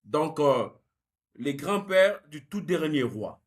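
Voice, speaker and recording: Lively, higher-pitched man explaining. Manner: measured and explanatory, mixing French with Lingala. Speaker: guest researcher. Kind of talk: analytical interview answer.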